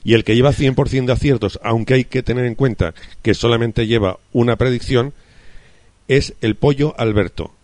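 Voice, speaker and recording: A man's voice: mid-range voice, normal speed, a little mellow, no echo. A man with an accent from Spain, hosting a casual radio football discussion in an effusive, colloquial way.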